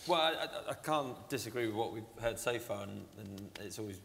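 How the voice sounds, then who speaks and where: A man's voice: fairly low pitch, expressive, normal speed, gravelly, a bit bright. A man in his thirties speaking on a conference panel, wry and self-deprecating. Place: large event hall stage. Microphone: lapel mic. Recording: reverberant hall, faint noise.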